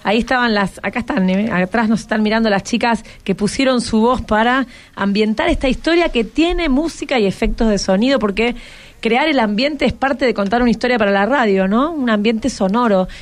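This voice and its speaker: An Argentine woman hosts radio in a fairly high voice with a Rioplatense accent. She talks casually and playfully, checking in often with short tag questions. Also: a little fast. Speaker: radio host. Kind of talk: casual interview with schoolchildren.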